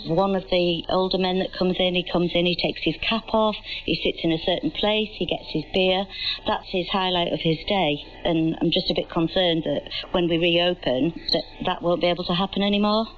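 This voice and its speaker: A woman's voice: on the low side, fairly even intonation, normal speed. A woman, a pub landlady talking informally outdoors in a radio interview. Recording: muffled, distant, longwave radio capture.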